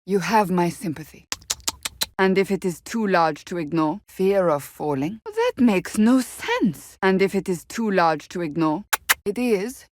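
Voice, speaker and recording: A woman playing a stern, devout warrior in a fantasy video game, forthright and formal in her measured, earnest speech. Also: mid-range voice, big pitch swings, darkish tone, slight background noise. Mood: indignant.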